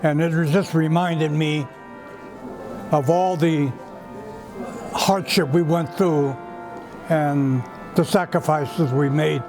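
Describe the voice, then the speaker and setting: An older man, a war veteran, recalling hardship in a steady, earnest way. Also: fairly high pitch, slow, pauses often, music in the background, low-quality recording. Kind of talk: casual reminiscing.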